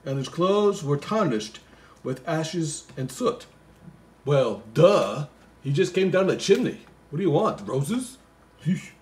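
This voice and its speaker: Man playing a goofy, baffled reader with swooping pitch. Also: big pitch swings, takes it slowly, darkish tone. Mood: puzzled. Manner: bewildered, slangy, mock-indignant muttering. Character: Floyd, goofy, baffled, easily sidetracked male storybook reader. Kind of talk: comic poem reading with rambling asides.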